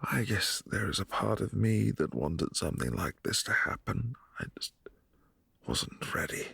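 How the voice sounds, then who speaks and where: A man voicing a brooding, possessive adult vampire prince in a boyfriend ASMR audio drama, speaking softly and often in a hushed whisper. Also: on the low side, very animated, measured pace, vocal fry, breathy edge, dry recording, full character voice. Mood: melancholy.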